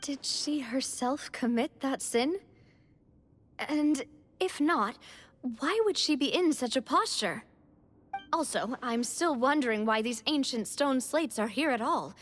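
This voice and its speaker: A woman's voice: high voice, measured pace, a little hiss or hum. An actress playing a thoughtful young woman in video game dialogue, reasoning aloud in an earnest way.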